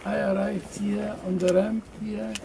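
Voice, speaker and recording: Gravelly old man. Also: high voice, flat delivery, measured pace, over a noisy crowd. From Poland. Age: 80s. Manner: hesitant, repeats words, searches for phrases. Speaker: survivor interviewee. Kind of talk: halting spoken testimony. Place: outdoors at a memorial site. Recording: outdoor noise in background.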